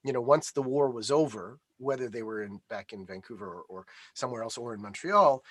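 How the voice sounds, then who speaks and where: A man's voice: medium pitch, a little fast, fairly bright tone, slightly rough, no room sound. A middle-aged man, talking as a guest in a conversational interview, hesitant with many restarts. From Canada.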